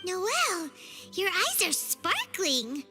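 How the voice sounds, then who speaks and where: A woman voicing a tiny, cheeky, excitable fairy girl companion with a piping, squeaky voice in an anime-style video game. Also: slow, soft background music, heavily acted. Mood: teasing. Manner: exclaiming, refers to herself in third person.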